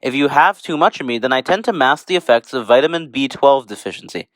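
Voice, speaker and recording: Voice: mid-range voice, animated, fast talker, no echo, natural voice, poor audio. An actor playing a cocky male vitamin character in a comic educational skit, talking in a smug, casual, sarcastic way.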